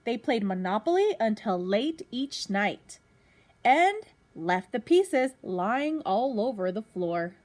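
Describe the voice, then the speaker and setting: A woman reading a children's picture book aloud as a teacher does for pupils, pausing to put questions to young listeners. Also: a little high, big pitch swings, darkish tone.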